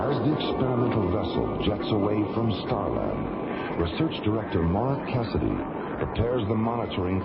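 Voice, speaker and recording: A man narrating a scripted science fiction radio serial in a measured, formal announcer's manner. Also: fairly low pitch, gravelly. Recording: dull old radio recording, loud music. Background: loud dramatic music.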